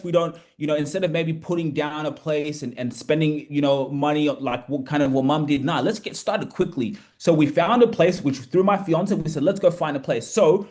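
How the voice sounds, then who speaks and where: A man's voice: on the high side, darkish tone. A man from Australia talking loosely and energetically to camera as a business coach, often restarting his sentences. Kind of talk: casual talk to camera.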